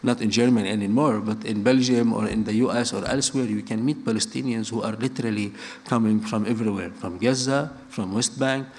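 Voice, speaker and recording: A middle-aged man who grew up in Lebanon and speaks natively, giving an earnest public talk with frequent restarts and repeated phrases. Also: medium pitch. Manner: earnest, building points through repetition, frequent restarts.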